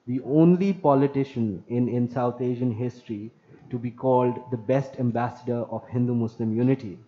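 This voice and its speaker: A man from Pakistan, speaking on an online panel in a measured, lecturing way and repeating phrases for emphasis. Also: mid-range voice. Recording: muffled lo-fi audio.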